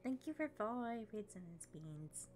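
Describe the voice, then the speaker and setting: A woman live-streaming a game, chatting casually with fillers and thanking followers, her voice slightly raspy as she comes down with a cold. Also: on the high side, sing-song, normal speed. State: coming down with a cold.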